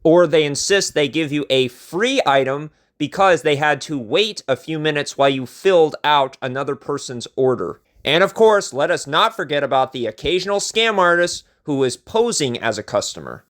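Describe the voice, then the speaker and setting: A male storyteller with a somewhat higher-pitched voice. He delivers a scripted first-person tale in measured phrases, with a theatrical touch to his narration. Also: animated, fairly bright tone.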